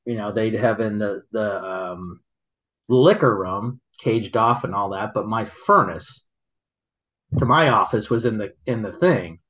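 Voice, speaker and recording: A man telling stories in casual chat, halting and rambling, laughing often as he goes. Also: on the low side, a bit bright, lo-fi sound. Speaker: guest, local business owner.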